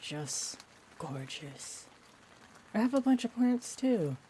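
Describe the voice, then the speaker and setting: A woman playing a sweet, shy female vampire in an intimate roleplay audio, rambling gently and hesitantly. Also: medium pitch, slow. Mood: admiring, caring. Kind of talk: roleplay audio, intimate one-sided chat. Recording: steady rain-like ambient noise. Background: rain ambience.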